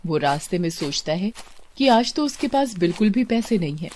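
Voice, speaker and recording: A woman's voice: medium pitch, sing-song, normal speed, a little mellow, slight background noise. A woman giving scripted narration of a moral story as the storyteller. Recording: prominent music under the voice.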